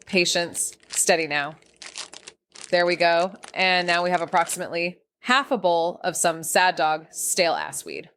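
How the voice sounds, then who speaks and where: Wry, low-voiced young woman, noir drama. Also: expressive, a little slow, fairly bright tone, slight background noise. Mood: wry, muttering. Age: young adult. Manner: wry, sarcastic, slangy. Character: sardonic, restless young woman, small-town dropout amateur sleuth. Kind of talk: first-person noir audio drama narration with dialogue.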